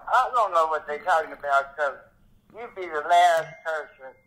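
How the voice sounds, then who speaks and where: A woman's voice: sits low, lively intonation, normal speed, fairly bright tone, low-quality recording. An older woman, a grandmother on a speakerphone call, talking in a blunt, feisty, questioning way. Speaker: grandmother receiving a prank call. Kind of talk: casual phone conversation.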